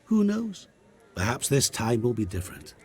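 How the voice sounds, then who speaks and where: A man's voice: medium pitch, big pitch swings, normal speed, darkish tone, natural voice. An actor playing a sly, mocking male trader of wishes in a game cutscene, speaking in a silky, teasing, theatrical way. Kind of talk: video game cutscene acting. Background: music.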